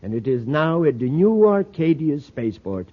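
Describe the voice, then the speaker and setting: Excitable old radio show science professor, swoopy highish husky voice, hesitates as he explains, tinny sound. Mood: earnest, intrigued. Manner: hesitant, deliberate, explanatory, with frequent uh and well. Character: excitable scholarly male professor of space science. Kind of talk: old-time radio drama acting. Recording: tinny, narrow-band old radio recording.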